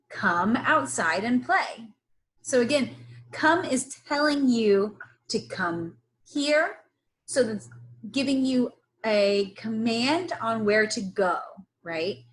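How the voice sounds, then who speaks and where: A woman teacher leading a reading lesson for young children, instructing in an encouraging way with pauses for them to repeat. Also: fairly high pitch, a bit bright. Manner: instructional, encouraging, pausing for repetition. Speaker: early-grades teacher. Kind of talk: slow read-aloud phonics lesson for young children.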